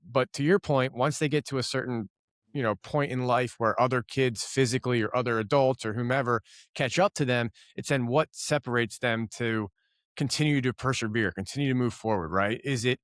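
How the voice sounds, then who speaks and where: Rambling podcast host, dry close mic. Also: male voice, medium pitch, a little fast, a bit bright, no echo. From Philadelphia. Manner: rambling, searching questions with many fillers. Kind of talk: casual conversational interview.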